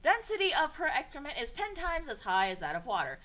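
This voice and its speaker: A woman's voice: high-pitched, fairly quick. Young woman reading garbled text with sarcasm. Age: young adult. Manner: deadpan reading broken by exasperated sarcastic asides; stumbles and self-corrects over garbled sentences. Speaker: channel host reading fan fiction. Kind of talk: comedic dramatic reading.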